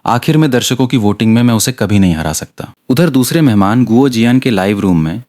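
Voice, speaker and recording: A man's voice: medium pitch, expressive, fairly quick, a little mellow. A man reading scripted dramatic narration for an audio story.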